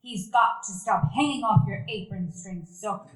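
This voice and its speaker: A woman acting a stern, brisk older sister in a stage radio play, giving curt, scolding orders. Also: medium pitch, close to an everyday voice. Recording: roomy event hall.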